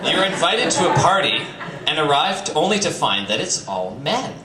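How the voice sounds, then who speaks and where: A man's voice: on the high side, sing-song, normal speed, far from the mic, over a noisy crowd. A man doing a comedic live reading for an audience, theatrical, with dry joking asides. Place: bistro live show with audience. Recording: echoey, noisy live stream from off mic.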